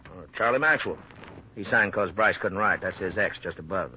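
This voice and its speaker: An actor playing a blunt, plain-spoken small-town sheriff, stern and burdened, in a 1950s radio drama. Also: male voice, sits low, moderate pace, lightly acted. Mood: subdued. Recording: muffled, hissy vintage radio recording.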